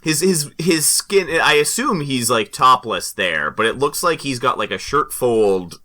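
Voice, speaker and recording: A man's voice: mid-range voice, animated, unhurried, pushed and bright. A man co-hosting a podcast, bantering casually with wry, quibbling asides. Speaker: podcast co-host.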